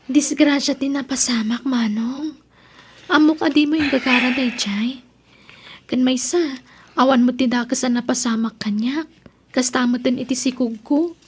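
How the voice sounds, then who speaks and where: Young Ilocano daughter, high pitch, husky breathy voice, earnest, principled, radio drama. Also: female voice, steady tone, moderate pace. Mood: earnest refusal. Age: young adult. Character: principled young Ilocano daughter, honest, gentle but firmly reproachful.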